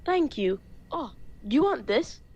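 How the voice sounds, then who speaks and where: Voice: high voice, very animated, unhurried, a little mellow, full character voice. An actor playing a polite, deferential teenage boy working as a night porter in an audio play, in slightly dull sound.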